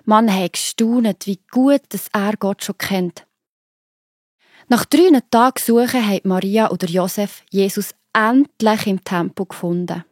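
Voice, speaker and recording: A woman's voice: mid-range voice, a little slow, a little mellow, no room sound, studio quality. A woman with a Swiss German accent telling a story to children, explaining it simply and expressively. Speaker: narrator. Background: music.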